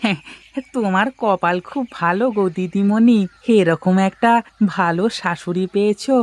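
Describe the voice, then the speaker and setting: A woman's voice: mid-range voice, moderate pace, close to an everyday voice. A woman voicing a humble, grateful male household servant in a cartoon, deferential and slightly hesitant. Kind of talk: cartoon family drama acting.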